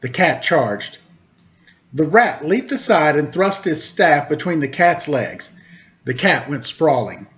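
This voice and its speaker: A man's voice: a little high, sing-song, slow, a bit bright. Man reading stories aloud, dull lo-fi sound. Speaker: storytime host reading children's stories. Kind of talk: read-aloud storytelling with casual introduction. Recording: dull lo-fi recording.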